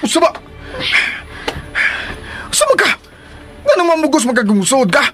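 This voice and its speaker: An actor playing a sweet-talking cheating husband who turns evasive when cornered, in a radio drama. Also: male voice, very high voice, wildly swooping pitch, normal speed, exaggerated voice. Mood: agitated, pleading. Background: music.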